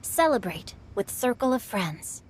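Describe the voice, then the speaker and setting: A poised young game heroine with a poetic turn. Her voice is fairly high and unhurried, elegant yet full of inflection. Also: big pitch swings, husky, exaggerated voice. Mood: challenging. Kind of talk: video game voice acting.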